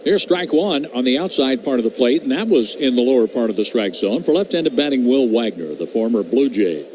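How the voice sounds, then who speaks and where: A man doing measured, descriptive live play-by-play of a baseball game as a radio announcer. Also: medium pitch, expressive, darkish tone, poor audio. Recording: clear background noise at ballpark.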